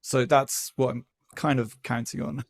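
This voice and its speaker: A man speaking as a podcast guest, explaining his views in a measured, deliberate, conversational way. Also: medium pitch, steady tone. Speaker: podcast guest, newsletter founder. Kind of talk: conversational interview.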